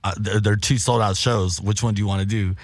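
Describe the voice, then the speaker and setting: Low, slightly raspy middle-aged man. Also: steady tone, fairly quick, no echo. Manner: laid-back, rambling with many restarts and slang. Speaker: guest, former radio host. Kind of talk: casual chat.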